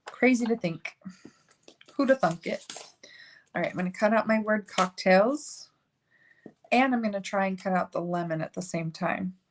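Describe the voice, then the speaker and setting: A woman's voice: mid-range voice, normal speed, long pauses, a little hiss or hum. A woman hosting a casual live card-making tutorial, chatting as she works and joking about her own slips. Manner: chatty, self-narrating, laughs at own slips.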